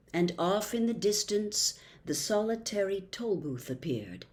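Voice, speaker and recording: Female storybook narrator: lowish voice, unhurried pace, dramatic pauses, widely varied intonation. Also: darkish tone. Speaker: host reading classic books aloud.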